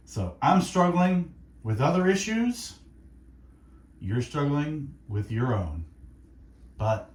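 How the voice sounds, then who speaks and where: A man hosting faith-based radio, speaking in an earnest, reflective monologue of encouragement with short, deliberate phrases. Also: medium pitch, roomy sound. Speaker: radio host. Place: radio studio.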